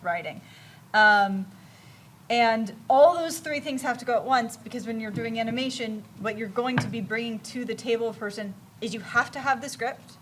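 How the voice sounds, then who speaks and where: A woman's voice: a little high, fairly bright tone. A woman panelist at a live convention giving informal, emphatic advice in short, broken-up pieces. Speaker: panelist, animation creator. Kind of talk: informal explanatory panel talk. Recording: noticeable ambient event noise.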